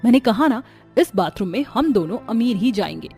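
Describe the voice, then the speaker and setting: A woman's voice: fairly high pitch, animated, fairly quick, mellow, soft background music. A woman voicing a haughty, indignant young rich daughter-in-law in a cartoon story, scornful and complaining.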